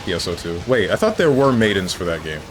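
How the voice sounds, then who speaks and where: A man streaming a video game, chatting casually with his viewers and joking around, with bursts of mock excitement. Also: sits low, fast talker. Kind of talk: casual live-stream chat while gaming. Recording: game music playing underneath.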